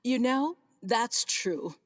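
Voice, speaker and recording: A woman's voice: on the high side, expressive, very slow, no echo, studio quality. A woman acting a gracious, commanding, flirtatious vineyard owner with genteel, theatrical emphasis in a comedic radio play. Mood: charmed.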